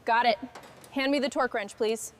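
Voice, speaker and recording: Calm woman giving short workshop orders. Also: on the high side, moderate pace. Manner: calm, clipped instructions. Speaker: restoration crew leader. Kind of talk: scripted workshop dialogue.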